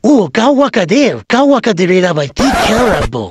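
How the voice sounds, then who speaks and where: A woman's voice: fairly high pitch, very animated, brisk, a little mellow. Swooping, whiny old cartoon husband played by a woman. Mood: grumbling. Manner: indignant, pleading, melodramatic. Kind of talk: comic cartoon dubbing. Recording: noisy amateur cartoon dub with clicks.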